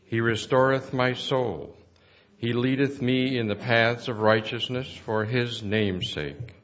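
A man reading scripture aloud at a memorial service in a measured, formal way. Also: medium pitch, steady tone, a bit bright, dull audio. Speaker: church board vice chairman reading scripture.

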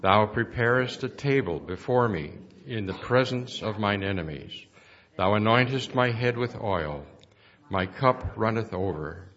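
A man reading scripture aloud at a memorial service in a measured, formal way. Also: fairly low pitch, expressive, fairly bright tone, highs cut off. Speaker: church board vice chairman reading scripture.

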